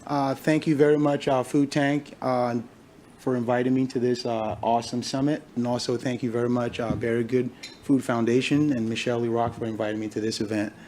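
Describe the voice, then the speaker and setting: A man from Liberia in his thirties gives a talk at a conference, with a voice of middling pitch. He speaks haltingly, pausing on fillers and restarting his phrases, and a faint hum of room ambience sits behind him. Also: flat delivery, darkish tone. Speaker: farmer and summit speaker. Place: conference hall stage.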